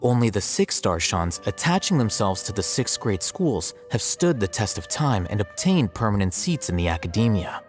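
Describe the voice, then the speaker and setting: A man voicing an aloof, dry academy scribe in a video game, with formal, deadpan delivery. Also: on the low side, moderate pace, few pauses, soft background music. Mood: dry, explanatory. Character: aloof, unambitious male academy scribe, matter-of-fact and dry. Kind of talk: scripted video game dialogue.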